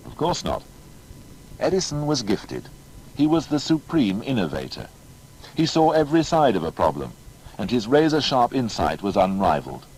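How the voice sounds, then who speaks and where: Male narrator for audio biography, very low and animated, formal emphatic storytelling, faint noise behind. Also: rough recording. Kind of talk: scripted documentary biography narration.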